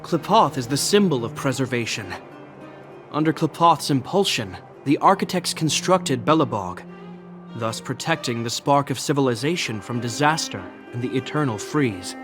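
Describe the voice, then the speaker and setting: A man's voice: mid-range voice, animated, moderate pace, fairly bright tone, faint music behind, close to an everyday voice. A man as a formal, dutiful guard captain. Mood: solemn, proud. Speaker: city guard officer character. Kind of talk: dramatic game voice acting.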